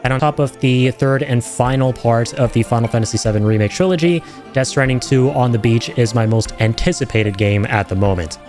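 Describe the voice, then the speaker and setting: A man hosting reaction videos, speaking in an excited, chatty, rambling way to his viewers. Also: medium pitch. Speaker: streamer and video host reacting to game trailers. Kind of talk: excited casual commentary to viewers.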